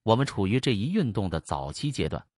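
A man's voice: lowish voice, sing-song, brisk, a little mellow, no echo, clean studio sound. A man dubbing a serious, authoritative speaker in a documentary. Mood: measured. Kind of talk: dubbed documentary speech.

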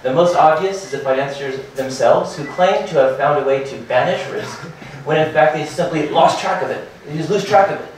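A man in his thirties giving a hurried startup presentation at a meetup, full of fillers and restarts. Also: fairly high pitch, slight background noise. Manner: hurried, filler-heavy, restarts and repeats words. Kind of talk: conference talk. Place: meetup room with projector and seated audience. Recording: very echoey room from far off.

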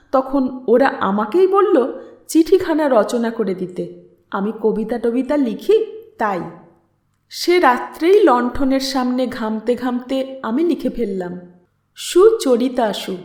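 High-voiced woman reading a story, unhurried. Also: darkish tone, echoey room. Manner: dramatic, measured delivery. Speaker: audiobook narrator and channel host. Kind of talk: expressive literary story reading.

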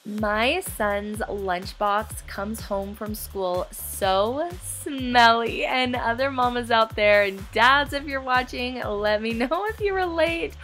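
A woman in her thirties giving a chatty, bubbly voice-over for a home cleaning tutorial, with light laughs. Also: a little high. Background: soft background music.

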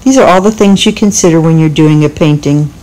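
A middle-aged woman giving instructional narration as she paints, explaining her choices in a deliberate way. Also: deep voice, mellow, low-quality recording.

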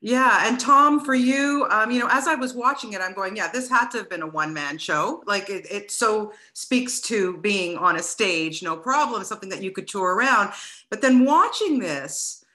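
A woman's voice: mid-range voice, expressive, moderate pace, bright, forceful tone. A middle-aged woman interviewing guests over a video call from her living room, effusive and chatty, with many restarts.

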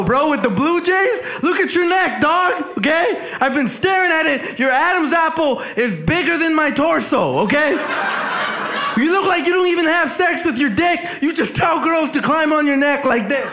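A young man from El Salvador doing a brash, crowd-working stand-up set on a club stage, holding a handheld mic. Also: extremely high pitch. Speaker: stand-up comic. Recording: echoey club with crowd noise.

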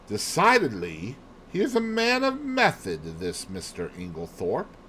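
A man's voice: mid-range voice, sing-song, a little hiss or hum, pitched above the performer's own voice. Man voicing a fussy, lilting detective. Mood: brisk, musing. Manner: precise, deliberate, peppered with French phrases. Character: fussy, placid Belgian male detective, growing old. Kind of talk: audiobook character dialogue.

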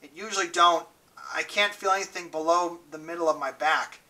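A man reading aloud and voicing a gentle, scared sixteen-year-old boy who is badly hurt and speaks weakly, haltingly. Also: a little high, recorded from a distance. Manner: weak, quiet and halting.

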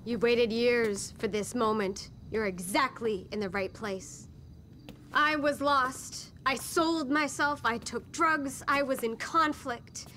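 An actress playing a serene, evasive cult recruiter in a satirical video game, speaking in a solemn, cryptic, rehearsed way. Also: female voice, on the high side, fairly even intonation, slow, sharp tone, a little hiss or hum.

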